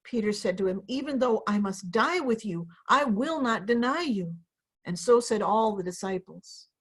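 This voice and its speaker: A woman's voice: mid-range voice, animated, normal speed, slightly rough. A woman pastor giving a dramatic scripture reading over a video call. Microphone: video call microphone.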